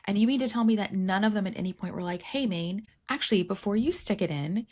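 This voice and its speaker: A woman podcast host talks casually and frankly, with a mid-pitched voice. She peppers sentences with "like", swears lightly and acts out imagined lines in a joking way. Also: quick pace. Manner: animated quoting and role-playing of imagined dialogue, frank and joking; uses "like" frequently and swears casually. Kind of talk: casual book discussion.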